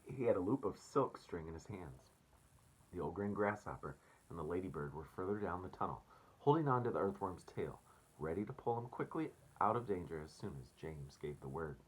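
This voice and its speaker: A man's voice: low-pitched, sing-song, brisk, slightly rough, rough recording. A man reading a storybook aloud as a teacher, expressively, and addressing children casually.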